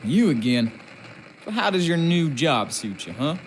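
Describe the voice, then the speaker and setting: A sardonic, bossy old-time sailor. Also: male voice, fairly high pitch, big pitch swings, a little slow, slight background noise. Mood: mocking, taunting. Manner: taunting, colloquial, condescending. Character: gruff, sardonic adult male ship's craftsman and sailor, bossy and wary. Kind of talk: video game character acting.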